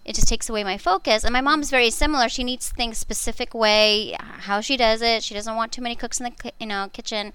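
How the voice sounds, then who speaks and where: A woman's voice: a little high, steady tone, fast talker, hardly any pauses, bright, forceful tone, clear, resonant tone. A woman from India chatting casually as a co-host, blunt and teasing, speaking with emphasis. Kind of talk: casual chat.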